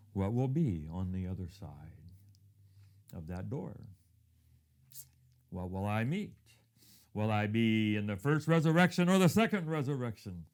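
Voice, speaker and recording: A man in his fifties who grew up in Thailand, giving a reflective devotional talk built around a personal story and often dropping to a hushed tone. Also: mid-range voice. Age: 50s.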